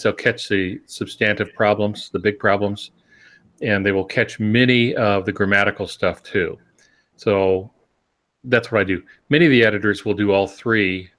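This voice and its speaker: Older male podcast co-host, lowish voice, casual explainer with a dry joking streak. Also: a bit bright. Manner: wry, joking.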